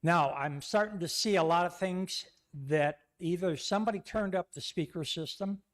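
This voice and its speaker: A man's voice: high-pitched, normal speed. A man speaking as a public commenter at a town board meeting, rambling and tagging his points with "okay". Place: town hall meeting room. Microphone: podium microphone.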